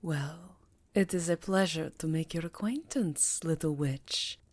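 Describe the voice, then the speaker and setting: Woman voicing a vampire countess, slow, very dry close sound, aristocratic, courteous, darkly charming, fantasy ASMR roleplay. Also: low-pitched, a little mellow, no room sound. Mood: courtly, charmed. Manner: courtly, genteel, unhurried.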